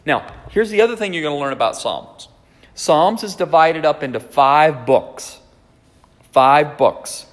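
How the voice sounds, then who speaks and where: A man's voice: fairly high pitch, lively intonation, a little slow, long reverb tail. A pastor in his forties giving a conversational teaching sermon, often checking in with the congregation. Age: 40s. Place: church sanctuary.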